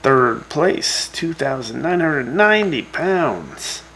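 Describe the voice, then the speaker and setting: A man playing a laid-back, folksy host of a post-apocalyptic wasteland radio show, giving news in a casual, unhurried way. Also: a little high, sing-song, creaky, bright, forceful tone, slight background noise, heavily acted. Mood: casual, reporting.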